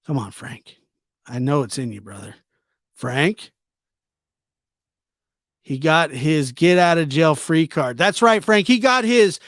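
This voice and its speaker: Casual male livestreamer, slightly high-pitched, dry sound. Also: lively intonation, takes it slowly, pauses often, a bit bright, dry recording. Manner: informal, teasing, repeats himself. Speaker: livestream host. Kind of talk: casual live chat commentary, reading and answering viewer comments.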